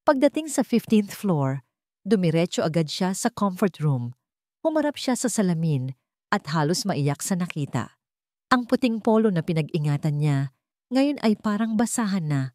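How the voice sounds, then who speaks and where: A woman's voice: fairly low pitch, big pitch swings, moderate pace, warm tone, no echo, studio quality. A woman reading a scripted dramatic story as its narrator.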